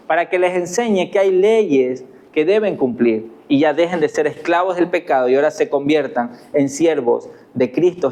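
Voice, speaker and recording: A man's voice: a little high, a little mellow. A man with an Ecuadorian accent preaching a sermon as pastor, earnest and asking his listeners rhetorical questions. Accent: Ecuadorian Spanish.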